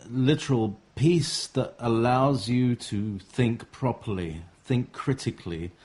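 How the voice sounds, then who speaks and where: A man hosting a talk show interview, speaking in a slow, measured way with fillers. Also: fairly low pitch, darkish tone. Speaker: host.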